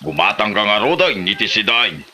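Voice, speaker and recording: Easygoing village man played by an actor, Ilocano comedy drama: lowish, very sharp bright voice. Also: very animated, moderate pace, slight background noise, full character voice. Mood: approving. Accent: Filipino, Ilocano.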